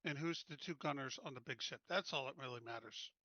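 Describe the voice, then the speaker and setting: A man's voice: medium pitch, fairly quick, pushed and bright, no echo, muffled recording. A man playing a tabletop game, casually deliberating aloud over the plan with the group.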